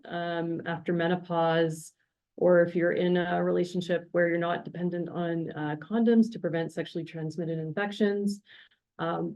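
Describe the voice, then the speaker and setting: A woman physician adding a brisk, informative point during a presentation. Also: on the low side, a little mellow. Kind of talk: educational presentation.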